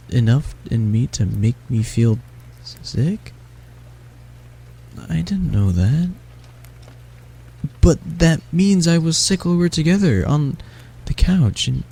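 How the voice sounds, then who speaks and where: A man plays a sick young catboy who stammers and pleads in a soft, husky voice. The voice is gravelly and dark. He speaks slowly, and his pitch swings widely. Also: exaggerated voice. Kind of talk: audio roleplay acting.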